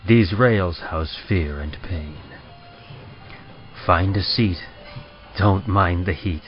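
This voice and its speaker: A man playing an ominous train conductor, reciting slow theatrical rhymes in an old-time radio horror style. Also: low-pitched. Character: ominous deep-voiced male train conductor. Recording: dull sound with music behind.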